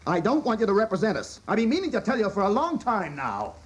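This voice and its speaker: A man's voice: sits high, huge pitch swings, fast talker, slight background noise. An actor playing a brusque, impatient younger American businessman in a radio drama, clipped and condescending.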